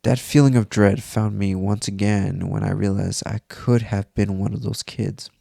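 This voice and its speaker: Low-voiced man narrating horror. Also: fairly even intonation, normal speed, vocal fry, mellow, no room sound, clean studio sound. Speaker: narrator reading a horror story. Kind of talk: scripted first-person horror story narration.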